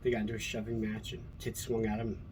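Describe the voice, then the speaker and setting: A man's voice: on the low side, completely flat delivery, fast talker. A man from Colorado talking casually and profanely, rambling with many fillers while reacting to an old speech and his upbringing. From Colorado, USA. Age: adult with a school-age son. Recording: noticeable background noise.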